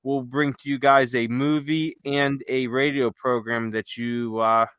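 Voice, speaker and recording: A man's voice: medium pitch, level tone, measured pace, a bit bright, clean tone, no echo. A man speaking casually as a podcast co-host, with an easygoing, informal manner.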